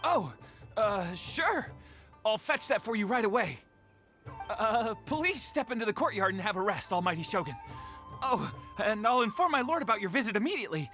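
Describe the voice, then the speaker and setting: Young servant, stammering and eager, bright tense voice. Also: male voice, sits high, big pitch swings, moderate pace, soft background music, exaggerated voice. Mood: flustered. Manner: flustered, stammering, eager to please.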